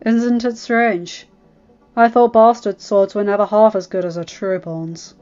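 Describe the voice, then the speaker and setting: A woman's voice: medium pitch, fairly even intonation, measured pace, darkish tone, muffled recording, faint music behind, lightly acted. A woman voicing a cocky teenage boy with a dry, taunting manner. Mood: smug. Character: cocky, teasing bastard-born teenage boy.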